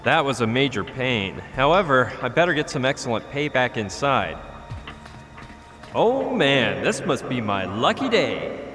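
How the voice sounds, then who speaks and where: A wisecracking game hero. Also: male voice, mid-range voice, big pitch swings, measured pace, a bit bright, in a large hall, soft background music. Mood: wry. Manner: sarcastic, joking. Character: wisecracking young male adventure hero. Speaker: game protagonist.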